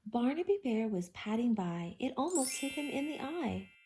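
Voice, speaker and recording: A woman reading a children's storybook aloud as host, with an expressive, theatrical, rhythmic delivery. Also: fairly high pitch, moderate pace, slight background noise.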